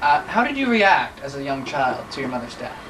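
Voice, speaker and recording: A man's voice: medium pitch, sing-song, normal speed. A teenage boy narrating a mock documentary and interviewing, earnest and mock-serious. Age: teens. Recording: distant mic, noisy room.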